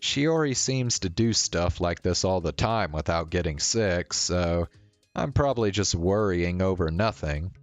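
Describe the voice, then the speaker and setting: Slow, low-voiced man reading aloud. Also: lively intonation, dry recording, soft background music. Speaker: streamer reading a visual novel aloud. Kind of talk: narration of a visual novel.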